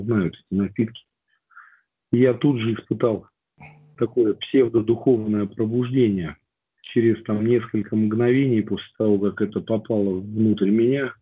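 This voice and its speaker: A man with a cold reads aloud and then slowly and reflectively tells his own recovery story to a group, with hesitant fillers. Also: on the low side, slightly rough. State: has a cold. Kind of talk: personal testimony talk. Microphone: video call. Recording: tinny phone-like line.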